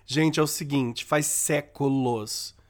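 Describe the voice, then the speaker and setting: A man's voice: mid-range voice, steady tone, takes it slowly. A Brazilian man co-hosting a podcast, chatting in a playful, camp way and laughing often. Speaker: podcast co-host. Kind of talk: campy casual chat.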